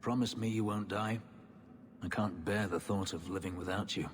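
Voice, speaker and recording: A man's voice: lowish voice, fairly even intonation, normal speed, long reverb tail, natural voice. An actor playing a brooding, devoted male warrior in a video game, with a solemn, intense delivery. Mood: tender, intense. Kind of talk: video game cutscene acting.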